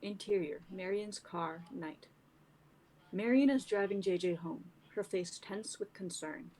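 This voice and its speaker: A woman's voice: medium pitch, a little slow, a little mellow, poor audio. A young woman narrating stage directions from a drama script. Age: young adult.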